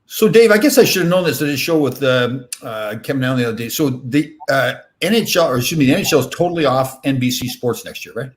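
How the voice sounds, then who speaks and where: Man host, casual chat, teasing questions, mid-pitched voice. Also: expressive, fast talker, hardly any pauses.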